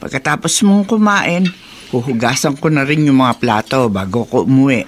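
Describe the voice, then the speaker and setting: An elderly grandmother in a radio drama, protective and caring toward her grown son. Her voice swings widely in pitch, with some noise behind it. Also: lively intonation, normal speed. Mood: concerned, tender.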